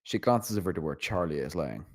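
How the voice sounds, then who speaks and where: A man's voice: low voice, expressive, fairly quick, dry recording, clean studio sound. A man reading stage directions as scripted narration at a screenplay table read.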